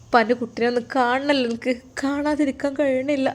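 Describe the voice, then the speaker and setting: A woman's voice: high-pitched, fairly even intonation, brisk, voice pushed up, close to an everyday voice. A woman voicing a doting, emotional older father in a story, with a Kerala Malayalam accent. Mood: emotional, forgiving. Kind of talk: storytelling character voice.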